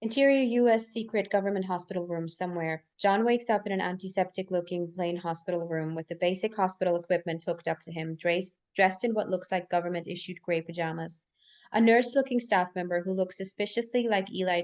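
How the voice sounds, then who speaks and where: A woman's voice: fairly low pitch, darkish tone. A woman hosting a table read and narrating its screenplay directions. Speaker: stage-direction narrator and host. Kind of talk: scripted narration.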